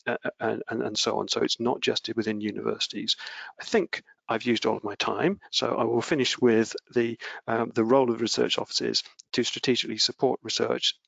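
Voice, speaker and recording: A man's voice: mid-range voice, creaky, a bit bright, no echo. A man giving a webinar talk over a video call, hesitant with many fillers and restarts. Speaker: webinar speaker, research office head. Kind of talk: presenting slides in a webinar. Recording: dry, dull video call audio.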